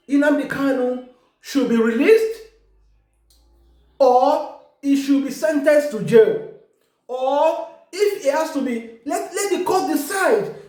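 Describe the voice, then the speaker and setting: A Yoruba-accented Nigerian woman with a high voice argues her point in English, pressing hard and often stumbling over repeated words. Her talk rings around a strongly echoing room. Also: darkish tone. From Ekiti, Nigeria. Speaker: online commentator. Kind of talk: casual opinion monologue. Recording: very echoey room.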